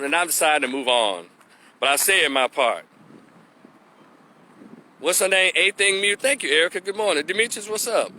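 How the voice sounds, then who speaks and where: A man holds forth on a live stream, his voice bright and tense and pitched a little high. He drives his points home with emphatic repetition. Faint street noise runs behind him. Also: rough recording. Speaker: commentator hosting a live opinion stream. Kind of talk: casual opinion monologue.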